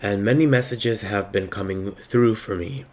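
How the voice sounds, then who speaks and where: A man with a slightly raspy voice giving a slow, reflective spiritual monologue with many pauses. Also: lowish voice, darkish tone. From Palestinian heritage. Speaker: spiritual reader.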